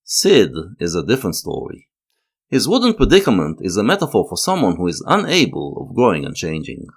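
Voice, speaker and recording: A man narrating a scripted analytical video essay in a measured, lecturing manner, leaning on key words. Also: deep voice, wildly swooping pitch.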